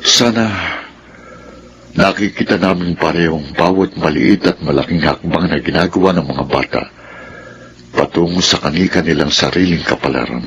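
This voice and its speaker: Super deep voiced filipino widower dad, pensive, solemn, radio drama. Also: male voice, moderate pace, a bit bright, slight background noise. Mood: wistful, pensive. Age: middle-aged. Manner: solemn, reflective. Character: middle-aged widowed father, pensive, longing, tender.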